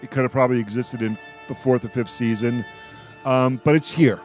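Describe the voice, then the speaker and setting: Male talk host, mid-pitched, casual and emphatic, circles back over his words. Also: fairly even intonation, a little fast, darkish tone, soft background music. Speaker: radio host moderating a roundtable. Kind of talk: casual roundtable chat.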